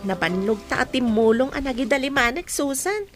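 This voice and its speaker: A woman's voice: sits high, lively intonation, a little fast, soft background music. A woman acting a friendly young village housemaid in an Ilocano radio comedy drama. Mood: friendly, content. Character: young village housemaid hoping to return to school.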